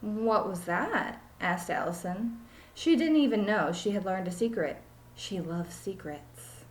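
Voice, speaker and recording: A woman's voice: medium pitch, sing-song, measured pace, a little mellow. A woman reads a children's storybook aloud like a teacher. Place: small home room, indoors. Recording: echoey room, webcam mic.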